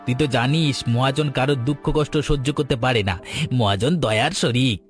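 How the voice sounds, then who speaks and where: A man's voice: mid-range voice, brisk, soft background music, natural voice. A man voicing a moneylender's brusque, knowing sidekick in a folk-tale cartoon.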